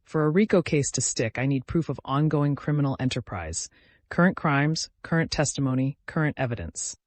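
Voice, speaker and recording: A man's voice: mid-range voice, expressive, moderate pace, dry recording, natural voice. Man voicing a controlled, blunt female prosecutor. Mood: brisk, businesslike. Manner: direct, controlled, tense. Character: controlled, professional woman prosecutor, blunt and steady. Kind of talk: dramatic audio story dialogue.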